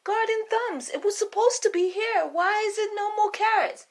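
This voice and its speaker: A woman's voice: very high voice, moderate pace, voice pushed up. A woman voicing a nervous male royal cook who stammers and hesitates in a storybook tale. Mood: flustered.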